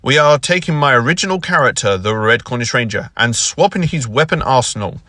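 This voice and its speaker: A man's voice: medium pitch, animated, brisk, pushed and bright, no echo, clean studio sound. A man hosting a hobby video, delivering a prepared introduction to the viewers. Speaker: video host. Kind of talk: scripted video intro to camera.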